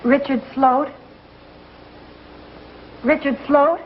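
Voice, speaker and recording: An actress playing a prim, gentle young schoolteacher in a 1950s television western, speaking politely and precisely. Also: female voice, high-pitched, steady tone, extremely slow, a little hiss or hum. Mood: firm, composed. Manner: polite, proper, precise classroom diction. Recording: muffled old 1950s television soundtrack, some music.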